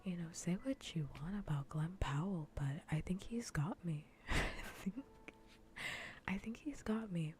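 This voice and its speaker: A woman hosting a livestream, chatting casually in a soft, breathy, whispery ASMR voice and rambling with dry humour. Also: on the low side, measured pace, slight background noise. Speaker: livestream host. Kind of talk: casual ASMR stream chat.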